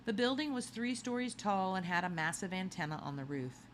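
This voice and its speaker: A woman reading a children's novel aloud as scripted narration, with expressive storytelling. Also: medium pitch, fairly quick, slight background noise. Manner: expressive storytelling with acted dialogue. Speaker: audiobook reader.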